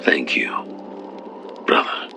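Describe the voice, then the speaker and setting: An actor playing a humble, lovestruck titan in a fantasy audio drama, speaking with hesitant, breathy earnestness. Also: male voice, slow, in a whisper, over music, natural voice. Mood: shy, hesitant. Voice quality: very deep, breathy, gravelly. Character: gentle, lovestruck male titan, humble and shy.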